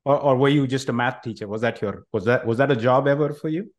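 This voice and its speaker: A man hosts an interview, prompting his guest with casual, encouraging questions. His voice sits at a middle pitch, plain and unhurried, and he backs the guest up with quick affirmations. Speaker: interview host.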